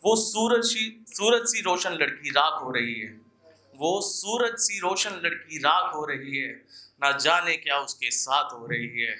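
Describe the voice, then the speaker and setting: A man's voice: a little high, animated, measured pace, sharp tone. A young man performing poetry live at an open mic, declaiming couplets with repeated lines and casual asides to the audience.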